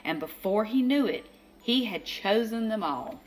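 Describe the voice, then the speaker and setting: Slow, very expressive woman storyteller. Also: mid-range voice, soft background music, rough recording. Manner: expressive, dramatic emphasis on repeated phrases. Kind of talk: children's picture book read-aloud. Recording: faint background noise.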